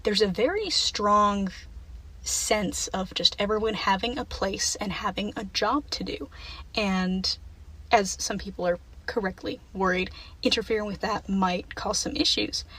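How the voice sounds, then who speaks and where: A woman giving a measured spoken argument in a listener's voice message. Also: medium pitch, a bit bright, breathy edge, poor audio.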